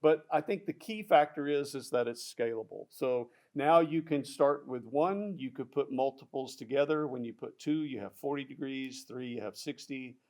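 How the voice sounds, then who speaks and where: A man talks through loudspeaker features in a technical product discussion. He explains at a steady, mid-range pitch, often pausing on fillers and restarting his sentences. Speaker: audio product specialist.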